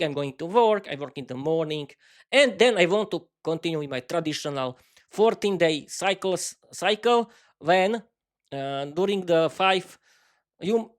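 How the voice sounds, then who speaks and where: Man, slovak accent, non-native english, unscripted solo podcast, halting, many restarts, fairly high voice. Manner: halting, frequent restarts and fillers. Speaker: podcast host.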